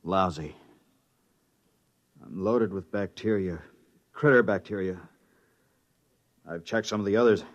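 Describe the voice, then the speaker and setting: A man's voice: deep voice, a little slow, long pauses. An actor playing an anxious, downbeat male crew scientist in 1950s radio drama style. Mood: grim. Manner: gloomy and worried. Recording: muffled 1950s radio broadcast.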